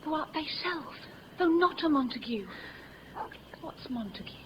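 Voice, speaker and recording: A woman's voice: high voice, lively intonation, measured pace. An actress playing a passionate, lovestruck young noblewoman, speaking verse in an emotive, theatrical 1950s radio style. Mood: yearning. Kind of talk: 1950s radio Shakespeare acting. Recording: dull, noisy old radio recording.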